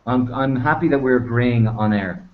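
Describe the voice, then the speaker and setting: A man's voice: mid-range voice, moderate pace. A man co-hosting an online talk show, chatting in a rambling, informal, sweary way over a lo-fi internet call. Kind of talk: casual two-person chat. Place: remote online video hangout.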